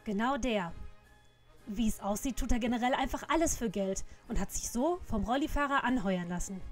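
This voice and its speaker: A woman's voice: on the high side, normal speed, soft background music, close to an everyday voice. A woman playing a sweet, confident girl detective in a parody of a youth mystery audio play. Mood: explaining.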